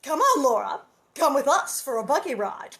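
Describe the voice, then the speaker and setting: A woman voicing a gushing, giggly young girl who chatters without stopping, breathless and high-pitched. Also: big pitch swings, normal speed, fairly bright tone, full character voice. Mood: coaxing.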